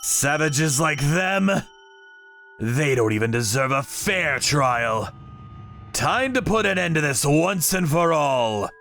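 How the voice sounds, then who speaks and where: A man reading scripted dramatic narration in a grave, measured way. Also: on the high side, animated, creaky, a bit bright. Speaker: narrator of a manga story, telling it in first person as a vigilante character. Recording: music behind the voice.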